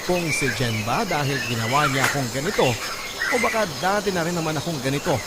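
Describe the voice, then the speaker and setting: A man's voice: on the high side, expressive, fairly quick, very noisy, lightly acted. An actor playing a remorseful young man, narrating his obsession in a Filipino radio drama. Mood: regretful. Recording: loud music under the voice.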